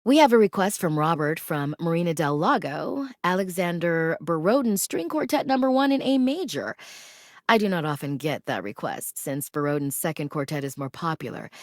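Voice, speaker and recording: Genteel female classical DJ, video game radio, measured formal delivery, lively intonation, dry close studio sound. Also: lowish voice, dry recording. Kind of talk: scripted public radio announcing.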